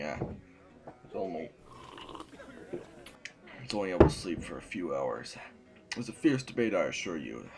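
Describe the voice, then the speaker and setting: A man's voice: deep voice, vocal fry. A man playing a stern, embattled sovereign in an audio drama, speaking in a formal, weary, imperious way.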